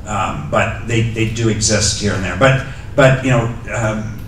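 A man's voice: fairly low pitch, unhurried, a little hiss or hum. Hesitant middle-aged man lecturing in a hall. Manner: hesitant, many ums and restarts. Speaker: guest lecturer. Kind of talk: lecture Q&A answers. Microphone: handheld microphone. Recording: echoey hall audio from far off.

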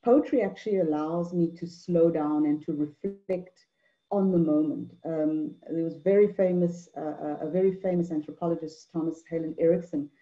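A middle-aged woman from Mauritius gives an online talk on a video call, measured, with many fillers and repeated words. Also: sits low. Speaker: anthropologist and poet speaker. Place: indoor room at home. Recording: dull lo-fi video call audio.

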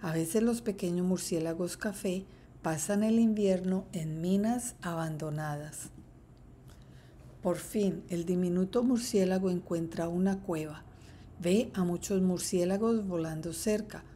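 A woman teacher reading a children's picture book aloud, slowly and carefully. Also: on the low side, mellow, a little hiss or hum. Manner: slow, careful read-aloud. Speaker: third-grade teacher.

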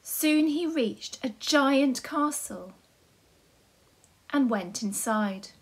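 A woman storyteller reading a tale for nursery children. Her voice is fairly high and a little husky, and she goes slowly, with simple and deliberate phrasing. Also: animated. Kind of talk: scripted children's story narration.

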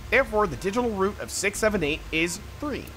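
A man's voice: high voice, animated, a little fast, sharp tone, soft background music. A man streaming a puzzle adventure game, casually commenting, reading text aloud and muttering to himself.

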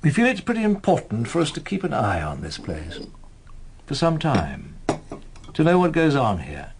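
A persuasive British intelligence officer in a radio play. His voice is gravelly and a little rough, of middling pitch. He talks formally and deliberately, with lively rises and falls and a quietly ominous edge. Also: a little hiss or hum. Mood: measured, persuasive. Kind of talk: British radio drama acting.

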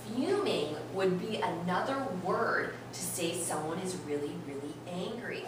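Expressive woman teacher in echoey classroom. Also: lowish voice. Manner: expressive, instructive, with slowed and stressed key words. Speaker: primary school teacher. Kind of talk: interactive read-aloud lesson to young children. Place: elementary classroom, indoors. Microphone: far camera. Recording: roomy, noisy classroom, distant camera microphone.

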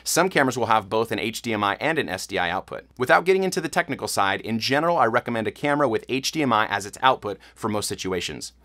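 A man in his thirties giving a clear, methodical scripted tutorial to the camera in a studio. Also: lowish voice, animated, quick pace, few pauses, sharp tone. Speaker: church tech instructor. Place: studio in front of a blue backdrop.

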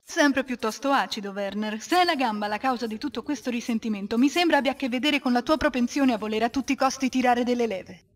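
A woman's voice: fast talker, lightly acted. A woman playing a cool, determined adventurous archaeologist in a fan-dubbed game cutscene, with a dry, defiant manner. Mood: cool, sarcastic. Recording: low-quality amateur dub, faint noise.

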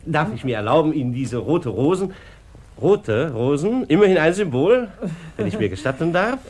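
An actor playing a middle-aged, oily charmer in a 1950s German radio play, with an unctuous, insinuating way of talking. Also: male voice, on the high side, sing-song, normal speed, darkish tone. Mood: gushing, flattering. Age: 40s. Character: oily, flattering middle-aged con man and suitor.

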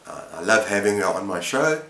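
A middle-aged man talks casually to a camera in the manner of a book vlogger. His voice sits fairly low, and he moves in short, halting phrases. The room adds a clear echo around his words. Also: sing-song, bright, forceful tone. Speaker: book vlogger, channel host. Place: book-lined room at home, indoors. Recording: roomy, echoing indoor sound.

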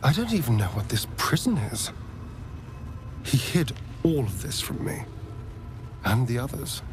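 Arch game vampire, haughty, theatrical, precise, unhurried, very lively intonation, noisy ambience. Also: male voice, mid-range voice. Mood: wary awe. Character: haughty, theatrical male vampire spawn rogue, wounded. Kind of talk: video game acting.

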